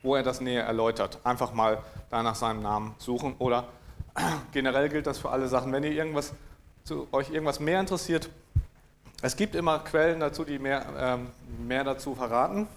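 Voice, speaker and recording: A man's voice: mid-range voice, steady tone, fairly quick, a little hiss or hum. Dry-spoken man giving a technical talk. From East Frisia, northern Germany. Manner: dry, hesitant, many fillers and throat clearing. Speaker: conference speaker. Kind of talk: technical talk to a live audience.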